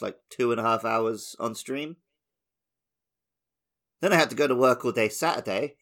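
A man's voice: lowish voice, a bit bright. A man co-hosting a podcast, chatting casually and telling everyday anecdotes. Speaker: podcast host. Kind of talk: casual chat, anecdotal storytelling.

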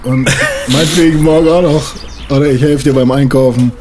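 A man's voice: mid-range voice, fairly even intonation, brisk, noisy background, lightly acted. A man playing a surly, crude, beer-drinking stepson, with a blunt and sneering way of talking. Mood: bargaining, blunt.

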